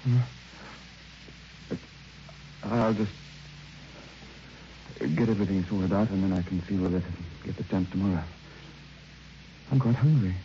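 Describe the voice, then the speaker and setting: A man's voice: sits low, a little slow, long pauses, dark tone. An actor playing a nervous, polite man in an old radio drama, with a hesitant, musing manner. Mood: mild, distracted. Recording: hissy, dull old radio recording.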